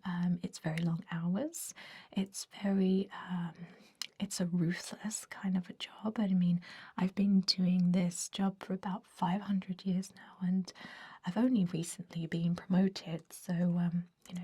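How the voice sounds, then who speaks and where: A woman's voice: on the low side, warm tone, breathy edge. A woman playing a centuries-old, fussy vampire recruiter in an ASMR roleplay, whispering with a hesitant, politely dismissive manner.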